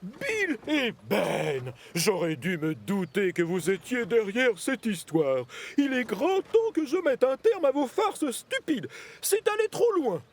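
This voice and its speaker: Pompous railway boss, very high-pitched for a man, animated, stern, scolding, authoritative, cartoon dub. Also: slow, exaggerated voice. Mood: exasperated, scolding.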